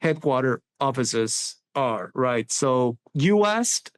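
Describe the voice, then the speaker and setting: A man's voice: medium pitch, expressive, takes it slowly. Earnest man. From Honduras. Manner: earnest, many restarts and tag "right?". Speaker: sustainability executive guest. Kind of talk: interview answers.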